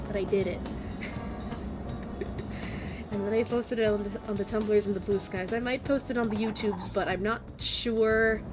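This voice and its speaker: An American woman with a strained, worn-out voice, chatting casually and rambling with lots of fillers while streaming a game. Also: mid-range voice. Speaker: vtuber streamer. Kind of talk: casual chat while gaming.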